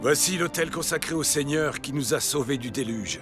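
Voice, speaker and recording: A man's voice: on the high side, moderate pace, over music, close to an everyday voice. An actor playing a pious old patriarch in a children's Bible cartoon, solemn, fatherly and reverent.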